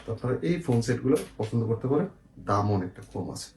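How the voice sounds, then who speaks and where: A man's voice: medium pitch, sing-song, fast talker, darkish tone. A man with a Bangladeshi accent casually presenting and explaining products as a seller, often checking in with the viewer. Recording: echoey room, faint noise, clicks.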